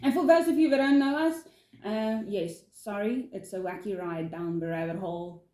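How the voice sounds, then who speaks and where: A woman's voice: mid-range voice, normal speed, a little mellow. A woman from South Africa, not a native English speaker, chatting casually as a vlog co-host in a giggly, bubbly way with hesitant fillers. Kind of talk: casual chat. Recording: echoey room.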